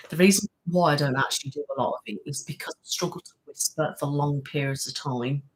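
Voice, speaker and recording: A woman hosting her ASMR channel's live stream, softly chatting and greeting viewers' comments with many fillers. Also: deep voice, rough recording. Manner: soft-spoken with filler words. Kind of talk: casual live-stream chat.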